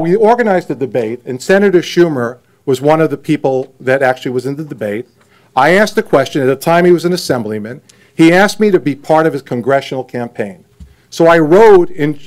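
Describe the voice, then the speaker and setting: A man's voice: fairly high pitch, a little mellow. A man giving a chatty, anecdotal speech at a podium, dropping in self-deprecating asides. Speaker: event emcee.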